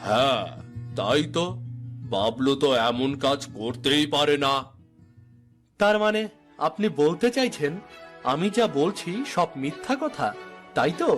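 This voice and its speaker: A man acting high-voiced cartoon villager. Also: animated, unhurried, long pauses, fairly bright tone. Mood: stern, then indignant. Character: several village men in a folk-tale cartoon, quarrelling and pleading. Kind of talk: dramatic cartoon dialogue. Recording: music behind the dialogue.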